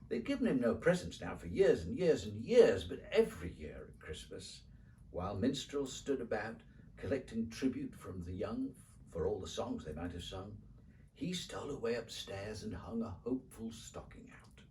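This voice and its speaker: A man reading a children's poem as a dramatic storyteller, with rhythmic, theatrical, expressive phrasing. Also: on the low side, moderate pace, far from the mic, a little hiss or hum, low-quality recording. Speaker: poetry reader.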